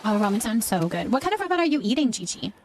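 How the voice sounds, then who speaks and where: A woman's voice: a little high, sing-song, rapid-fire, a little hiss or hum. A middle-aged woman hosting a casual live stream, chatting informally and thanking viewers as she answers their comments.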